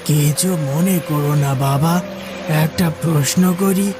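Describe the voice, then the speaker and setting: A man playing a gentle, humble old man in a cartoon, speaking with hesitant politeness. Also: a little high, slow pace. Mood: gentle, concerned. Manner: hesitant, pleading. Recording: music behind the voice.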